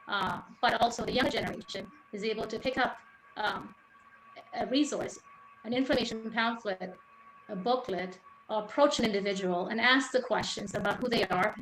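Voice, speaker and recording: A woman teacher from Sri Lanka speaks earnestly on a panel, pausing often with fillers. Her voice sits in a reverberant room with a faint background hiss. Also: on the high side, fairly bright tone. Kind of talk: panel discussion remarks. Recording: echoey room, faint noise.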